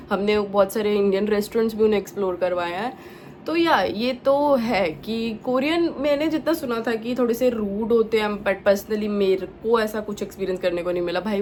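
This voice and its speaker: A young woman from India, a native Punjabi speaker, talks in a loose, chatty way, mixing English words and fillers into her sentences. Her voice sits at an ordinary pitch for a woman. A faint hiss of room noise runs under it. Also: a little mellow. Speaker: vlogger. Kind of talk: casual chat.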